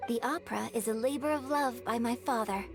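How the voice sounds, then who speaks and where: An actress plays a graceful young female opera performer in a video game. She speaks politely and formally in a fairly high voice, with music clearly playing behind her. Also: a little fast, close to an everyday voice. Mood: composed. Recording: clear background music under the voice.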